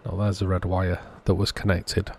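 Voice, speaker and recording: A man's voice: low-pitched, completely flat delivery, moderate pace, creaky, darkish tone, husky. A man narrating a hands-on repair walkthrough in a matter-of-fact, understated way, as the host of a repair channel.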